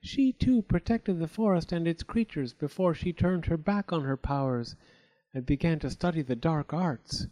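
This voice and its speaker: Figure: a man playing the eldest fairy. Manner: grave, respectful, quiet. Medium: storybook. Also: fairly high pitch, moderate pace, pitched above the performer's own voice, lightly acted, rough recording. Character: oldest fairy sister, grave and formal.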